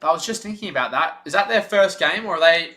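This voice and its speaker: Young guy doing fan play-by-play on a stream, bright kinda high voice, getting hoarse. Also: fast talker. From Australia. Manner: excited rooting fan, loud on big plays, chatty in lulls. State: losing his voice. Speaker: stream host.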